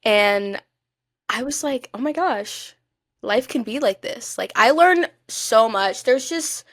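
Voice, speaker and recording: A woman's voice: a little high, animated, takes it slowly, fairly bright tone, dry recording, clean studio sound. A young woman co-hosting a podcast in casual chat, talking in a gushing, emphatic fan style. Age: young adult. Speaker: podcast co-host.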